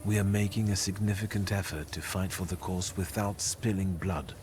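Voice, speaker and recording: A man acting a proud, cool-headed thaumaturge in a story video game, speaking in a measured, self-assured way. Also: low-pitched, little pitch movement, in a large hall, over music, lightly acted. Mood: guarded, firm. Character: proud, defiant male thaumaturge, cool and scheming.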